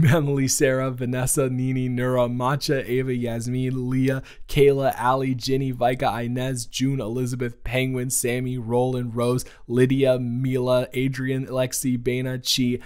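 A man's voice: medium pitch, clear, resonant tone. A man reading out a list of thanks and a short channel announcement as the creator of the show. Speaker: audio roleplay creator reading patron thanks.

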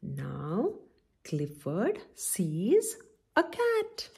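A middle-aged woman reads a picture book to small children at an unhurried pace. Her slightly husky voice swings widely in pitch. She explains things simply and keeps putting questions to the listeners. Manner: expressive, instructive, addresses children with frequent questions. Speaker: children's story-time host. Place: indoor room at home, by a window.

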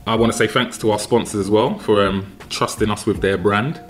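Halting low-voiced male podcast host. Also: fairly even intonation, soft background music. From London. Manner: halting, many ums and repeated words. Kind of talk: casual interview. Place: home kitchen. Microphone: desk mic on a boom arm.

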